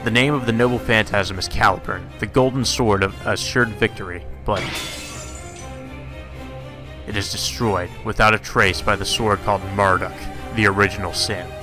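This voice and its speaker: A man's voice: on the low side, steady tone, moderate pace, music in the background. A man reading a visual novel aloud as narration, in the manner of a let's play host.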